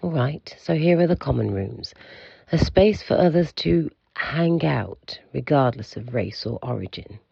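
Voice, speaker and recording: Man acting as a gravelly, pompous institute keeper. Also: mid-range voice, animated, a little mellow, no room sound, muffled recording, exaggerated voice. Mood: formal, informative. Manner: formal, measured, curt. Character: aloof, pompous male keeper of an institute for monsters. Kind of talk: audio drama acting.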